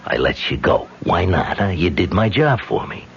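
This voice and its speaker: An actor playing a blunt, menacing male gangster in a 1970s radio drama, talking in a slow, threatening street manner. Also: sits low, big pitch swings, creaky, slight background noise, exaggerated voice. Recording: dull vintage radio broadcast.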